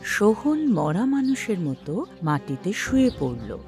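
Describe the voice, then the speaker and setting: A woman's voice: medium pitch, big pitch swings, measured pace, a little mellow, soft background music. A woman narrating a scripted children's story as host, speaking directly to young listeners.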